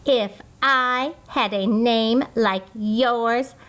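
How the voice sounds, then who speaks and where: A woman's voice: on the high side, very slow, a bit bright. A woman voicing a snooty know-it-all schoolgirl in a children's storybook, pointed and emphatic. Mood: smug.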